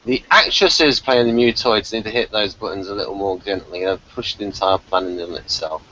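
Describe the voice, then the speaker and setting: A man's voice: on the low side, brisk, bright, forceful tone, muffled recording, slight background noise. A man chatting casually as he co-hosts a review, with a wry, nitpicking, hesitant manner. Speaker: podcast co-host reviewing a TV episode.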